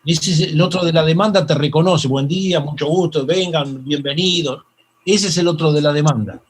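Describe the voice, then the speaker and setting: An older Argentine man with a Rioplatense accent, a psychoanalyst telling stories in an interview, halting with many fillers and restarts. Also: on the high side, slightly rough. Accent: Rioplatense Spanish. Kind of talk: reflective interview storytelling.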